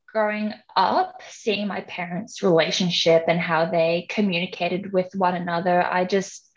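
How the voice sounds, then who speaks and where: A woman's voice: mid-range voice, dull audio. Giggly young woman with Hong Kong accent. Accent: Hong Kong English. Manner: giggly, chatty, lots of "like". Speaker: podcast guest. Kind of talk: casual interview chat.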